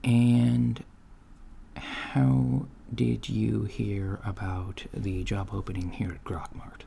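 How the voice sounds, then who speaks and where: A man acts out an apologetic, inexperienced male alien interviewer in an ASMR roleplay. He speaks softly and haltingly in a lowish, gravelly, mellow voice. The lo-fi recording has faint noise and keyboard typing. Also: flat delivery, heavily acted. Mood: polite, hesitant. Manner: hesitant, halting, mumbling asides. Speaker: job interviewer. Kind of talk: soft-spoken ASMR roleplay. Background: computer keyboard typing.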